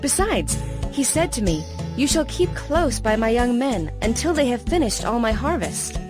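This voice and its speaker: A young foreign widow, humble and grateful. Her voice sits a little high and she speaks with earnest deference. It is dramatized scripture with music underneath. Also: sing-song, normal speed. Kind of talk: dramatized scripture audiobook acting.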